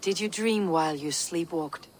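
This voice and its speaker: Actress as a resolute Viking warrior, faint ambience. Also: female voice, on the low side, normal speed, a little hiss or hum, lightly acted. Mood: calm, intimate. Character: steady, commanding female Viking warrior leader. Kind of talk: dramatic video game acting.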